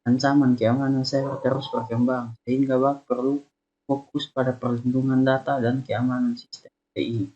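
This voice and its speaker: A young man reading a student slide presentation in a halting way. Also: mid-range voice, flat delivery, warm tone. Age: young adult. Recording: dull, lo-fi sound.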